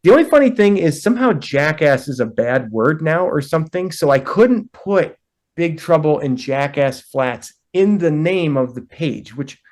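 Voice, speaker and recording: A man's voice: expressive, normal speed, a little mellow. A man in his fifties, a podcast guest, chatting casually and rambling with self-deprecating asides. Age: 50s. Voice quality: deep voice. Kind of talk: casual chat.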